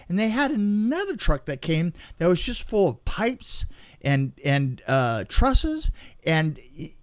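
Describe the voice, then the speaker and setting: A man in casual chat, telling anecdotes in a rambling, animated way. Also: high-pitched, no echo. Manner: rambling storyteller, acts out sound effects.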